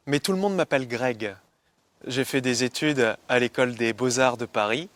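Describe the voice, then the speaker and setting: An actor playing a young artist in a scripted textbook dialogue, relaxed and knowledgeable. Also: male voice, medium pitch, normal speed, close to an everyday voice. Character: Greg, a young male artist. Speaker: artist tenant character.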